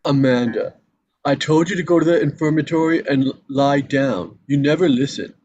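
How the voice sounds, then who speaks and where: A man's voice: a little high, moderate pace, a little mellow, dull audio, slight background noise, lightly acted. A man playing an exasperated, defensive teenage boyfriend in a table read, with a nagging manner. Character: exasperated teenage boyfriend, humorless lab partner. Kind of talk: live table read acting.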